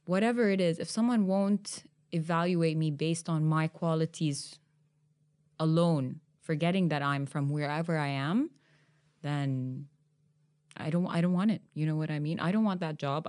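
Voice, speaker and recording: A young woman hosting a podcast interview, animated and emphatic, often laughing as she talks. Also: low voice, normal speed. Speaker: podcast host. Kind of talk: conversational interview.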